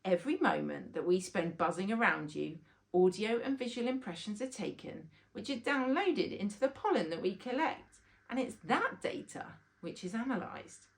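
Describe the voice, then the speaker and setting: A woman's voice: medium pitch, expressive, moderate pace, gravelly. A woman voicing a kindly, earnest old grandad who is now a talking bee in a children's storybook.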